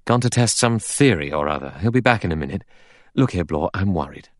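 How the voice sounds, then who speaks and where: A man's voice: low-pitched, expressive, a little fast, no room sound, studio quality. A man voicing a worried, doubtful doctor, hesitant and a little impatient, with educated phrasing.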